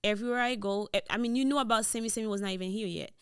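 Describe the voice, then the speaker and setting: A young woman from Haiti with a Haitian Creole accent, a podcast guest telling her story in relaxed chat and slipping into Creole, on a studio boom mic. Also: rapid-fire. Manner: switches into Creole mid-sentence, relaxed storytelling. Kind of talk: casual interview chat. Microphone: boom-mounted studio mic.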